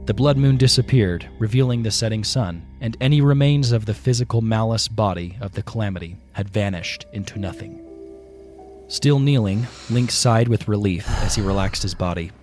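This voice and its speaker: A man narrating a scripted fantasy audio play with dramatic, deliberate storytelling. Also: lowish voice, a little mellow. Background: prominent music.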